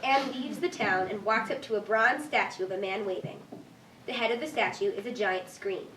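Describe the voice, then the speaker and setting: A woman's voice: medium pitch, moderate pace, a bit bright, recorded from a distance. Lady reading out stage directions at a live reading, echoey room, bit of background noise. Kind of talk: scripted narration at a staged reading.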